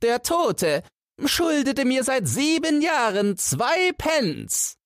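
A man voicing an old woman in a fairy tale, shrill, thin and insistent. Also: sing-song, takes it slowly, a bit bright, no room sound, clean studio sound, exaggerated voice. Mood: indignant, demanding. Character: shrill old woman demanding her money back.

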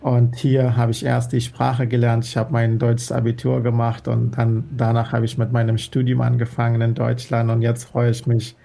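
Earnest man, gravelly low-key voice. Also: completely flat delivery, normal speed, hardly any pauses, dark tone. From Afghanistan. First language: Dari. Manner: earnest, many hesitation fillers. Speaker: guest, former interpreter. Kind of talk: radio interview answers.

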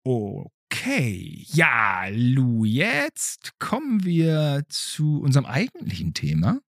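A man's voice: medium pitch, huge pitch swings, slow, vocal fry, no room sound, clean studio sound. A man hosting a podcast, chatting casually and playfully as he interviews a child. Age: adult. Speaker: podcast host.

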